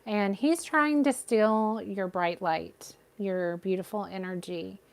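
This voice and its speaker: A woman giving a live tarot reading with a soothing, gentle voice, talking straight to her viewers. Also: medium pitch, takes it slowly. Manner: gentle, reassuring, addresses viewers by name. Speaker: tarot reader.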